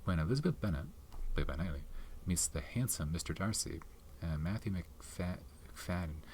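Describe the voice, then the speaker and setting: A man talks softly and close to the mic in a very low voice, rambling through his thoughts with lots of fillers and restarts. Tapping and clicking sit in a clearly noisy background. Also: animated, moderate pace. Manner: soft-spoken, rambling with many fillers and restarts. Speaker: ASMR channel host reviewing his film collection. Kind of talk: soft-spoken ASMR chat.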